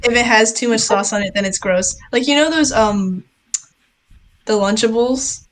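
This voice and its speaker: Young woman co-host, giggly, rambling, slightly high voice. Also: moderate pace, slight background noise, low-quality recording. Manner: rambling, giggly, many fillers. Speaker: podcast co-host. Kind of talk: casual chat.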